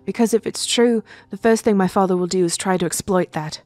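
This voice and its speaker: A woman's voice: mid-range voice, brisk, darkish tone, dry recording, soft background music, close to an everyday voice. A woman playing a remorseful, anxious young princess in a fantasy audio drama, earnest and restrained. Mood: guarded worry.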